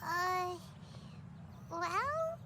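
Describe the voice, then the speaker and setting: A very high, squeaky little fairy. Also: female voice, big pitch swings, very slow, noisy background, exaggerated voice. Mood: surprised, hesitant. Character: tiny, childlike female fairy companion, squeaky and excitable. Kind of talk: English video game voice acting. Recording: game dialogue over loud music. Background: loud music.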